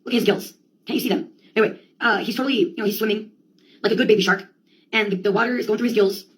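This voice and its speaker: A woman's voice: medium pitch, steady tone, rapid-fire, low-quality recording. A woman telling a rambling comic monologue in a theatrical, slangy, sweary way. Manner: sweary, theatrical, slangy delivery with quoted outbursts.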